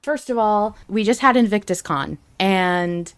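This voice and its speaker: A woman's voice: mid-range voice, expressive, measured pace, clear, resonant tone. A woman hosting a casual fan podcast, talking in a chatty, enthusiastic way. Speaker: podcast host.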